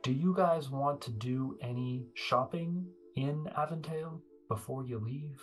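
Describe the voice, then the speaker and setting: A man running a tabletop game as game master, chatty, laughing often and filling pauses with ums. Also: medium pitch, faint music behind.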